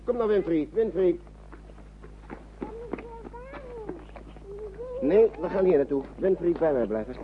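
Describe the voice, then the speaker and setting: An actor playing a courteous, resigned gentleman lawyer who shepherds children in a radio play, chatty and repeating himself. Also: a little high, sing-song, a little fast, narrow, tinny audio. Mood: coaxing, fussing. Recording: noisy old radio play recording.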